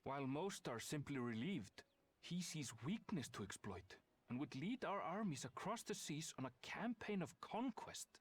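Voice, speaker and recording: Proud young companion, game cutscene. Curt, formal, disdainful, fairly high voice, very varied pitch. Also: male voice, sing-song, normal speed. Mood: grave. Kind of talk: video game cutscene acting.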